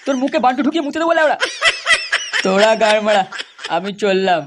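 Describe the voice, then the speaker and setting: A man's voice: high-pitched, animated, fast talker, a little hiss or hum. A man voicing a crude, quarrelsome village man in an adult comedy cartoon, brash, foul-mouthed and exaggerated. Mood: drunken bickering.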